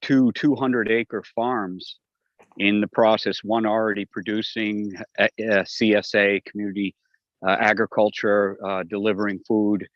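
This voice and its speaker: A man with a lowish voice calls in and speaks in halting bursts, filling gaps with "uh". The audio is dull and lo-fi, with a dry, close sound. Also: level tone, no echo. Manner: halting, many "uh" fillers. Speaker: remote caller. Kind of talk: casual call-in remarks.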